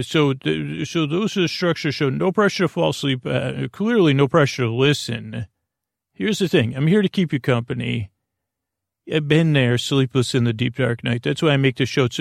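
A man's voice: medium pitch, gravelly, no room sound, studio quality. A man hosting a silly bedtime podcast in a rambling monologue, hesitant and often trailing off mid-thought. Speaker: podcast host.

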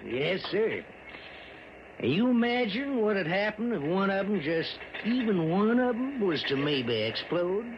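A man's voice: high voice, sing-song. An actor in an old-time radio drama playing a worried, rambling small-town mayor and newspaperman with a rural American accent. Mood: folksy worry. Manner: rambling, folksy, emphatic drawn-out storytelling. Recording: muffled old radio recording, faint noise.

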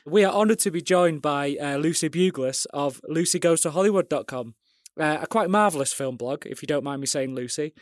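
A man with a fairly high voice, nasal and stuffed up from a cold. He talks in a friendly, chatty way, stumbling over fillers as he goes. The sound is dry and close, with no room around it. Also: a little fast, no echo. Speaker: podcast co-host. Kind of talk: casual chat.

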